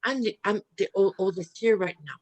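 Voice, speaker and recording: A woman's voice: mid-range voice, brisk. A woman in her fifties in a casual group chat, talking in a chatty, self-deprecating way. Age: 50s.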